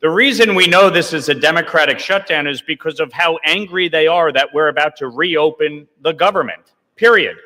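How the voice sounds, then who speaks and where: A man's voice: a little high, normal speed, bright, forceful tone. A man, a congressman, giving a combative floor speech in a legislative chamber. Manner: combative, blaming. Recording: long reverb of a large chamber.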